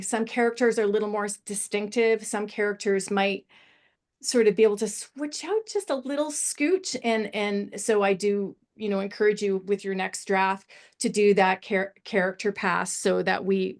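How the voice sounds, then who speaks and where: A middle-aged woman giving casual, animated and encouraging feedback on a screenplay, often wandering off her point. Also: medium pitch, moderate pace. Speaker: script feedback panellist.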